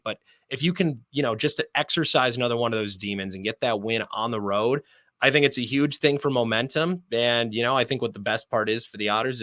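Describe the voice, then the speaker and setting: A man's voice: mid-range voice, bright, forceful tone, no room sound. A man speaking as a sports broadcaster guest in an interview, enthusiastic and wordy.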